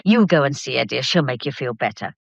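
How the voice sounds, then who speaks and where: A woman's voice: rumbling low, animated, brisk, creaky, breathy edge, dry recording, muffled recording, full character voice. A woman playing a bustling, motherly working-class English servant in a period audio drama, colloquial, fussing and comforting. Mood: brisk, comforting.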